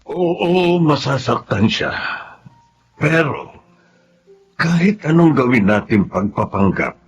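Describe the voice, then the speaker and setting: A man's voice: mid-range voice, very animated, slow pace, muffled recording. An actor with a Filipino accent playing a guilt-ridden, sorrowful father in a heavy-hearted melodrama. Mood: guilty, anguished.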